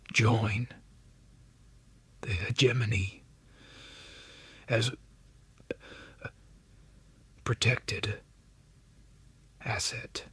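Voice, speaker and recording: A man voicing an arrogant male alien counselor in a sci-fi audiobook, half-whispering in slow, halting, formal phrases with cold condescension. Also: lowish voice, fairly bright tone, husky, no room sound, voice pushed up, exaggerated voice. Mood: smug. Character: arrogant alien counselor, smug, cold, beautifully resonant, dismissive. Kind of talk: audiobook character voice.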